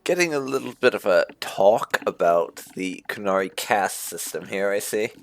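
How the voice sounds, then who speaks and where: A man's voice: fairly low pitch, animated, moderate pace, vocal fry, slight background noise. A man giving casual, explanatory playthrough commentary as a gaming host, talking informally and close to the mic. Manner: informal, explanatory, reading dialogue choices aloud.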